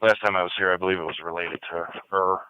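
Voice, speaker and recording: A man's voice: low-pitched, fairly even intonation, fast talker, smooth, dry recording, dull audio. A male emergency responder giving brief reports from the field over a two-way radio. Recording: police and fire scanner radio.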